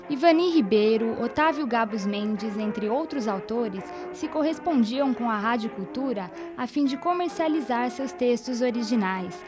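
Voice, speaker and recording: A woman's voice: fairly high pitch, animated, normal speed, hardly any pauses, over music. A woman with a Brazilian accent reading scripted documentary narration.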